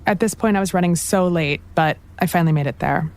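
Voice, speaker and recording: A woman's voice: lowish voice, fast. A woman hosting a podcast, telling the story in a conversational, lightly wry scripted narration and putting questions to her guests. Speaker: podcast host. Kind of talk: scripted narration mixed with interviewing.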